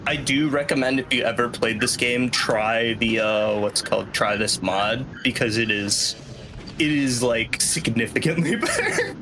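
A man's voice: mid-range voice, lively intonation, normal speed, noisy background. A young man giving casual, chatty speedrun commentary, explaining as he plays and swearing casually. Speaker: speedrunner playing live at a charity marathon. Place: small indoor room at home. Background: video game music.

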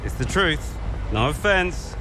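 A man's voice: on the high side, very animated, slow, music in the background. An actor playing a cocky, needling young British racing-team man in a video game cutscene. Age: young adult.

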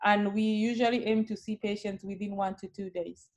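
Kenyan-accented woman, nurse educator explaining a care model to colleagues on a video call, mid-pitched, warm and patient. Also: steady tone, moderate pace. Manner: friendly, explanatory, with frequent filler sounds. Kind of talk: webinar presentation.

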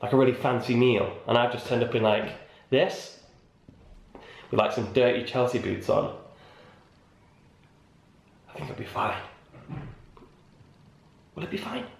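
A young man talking casually to a handheld camera, chatty and animated with lots of filler words. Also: mid-range voice, a little slow, gravelly, long pauses, reverberant. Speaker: vlogger and stage actor. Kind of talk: casual vlog chat. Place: hotel room and corridor indoors, garden outdoors. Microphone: camera held at arm's length.

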